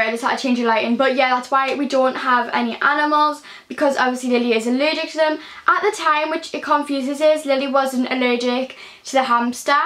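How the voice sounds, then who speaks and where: A woman's voice: high-pitched, fairly bright tone. A teenage girl with a Geordie accent chatting casually in a bedroom Q&A vlog, full of ums and likes, recorded on a phone. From Newcastle, England. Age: early teens. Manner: chatty, many ums and likes, gestures while talking. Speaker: beauty vlogger. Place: small bedroom indoors. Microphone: phone camera at close range.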